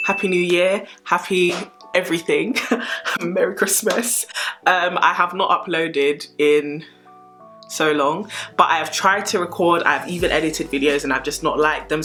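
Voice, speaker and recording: A twenty-year-old British woman vlogging in a casual, chatty Q and A style, with an animated, emphatic way of talking. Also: lowish voice.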